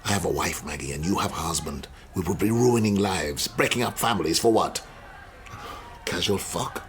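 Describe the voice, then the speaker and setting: A man's voice: sits low, moderate pace. An actor playing a proud, evasive middle-aged male university lecturer, dismissive and defensive, in a naturalistic radio drama. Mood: defensive, exasperated. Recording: radio drama with clear background noise.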